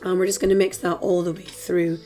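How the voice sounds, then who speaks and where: A Scottish woman hosting a cooking tutorial in a chatty, relaxed way, her voice a little hoarse from a dry throat. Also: lowish voice, a little fast, soft background music. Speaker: cooking channel host. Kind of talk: casual cooking tutorial voice-over.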